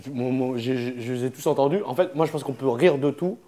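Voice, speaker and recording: A young man speaking at a mid pitch in a loose, casual conversation. He picks his words carefully and builds his points step by step. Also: fairly quick, mellow, slight background noise. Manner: thoughtful, weighing his words. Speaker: comedian guest.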